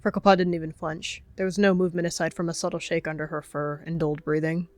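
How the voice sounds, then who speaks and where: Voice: medium pitch, level tone, fast, no room sound. A storyteller giving dramatic third-person narration of characters in a roleplayed animal story.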